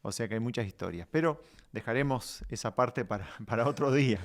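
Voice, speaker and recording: A man hosting a conversational podcast, speaking in a measured, reflective way with pauses as he addresses his guest. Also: mid-range voice. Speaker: podcast host.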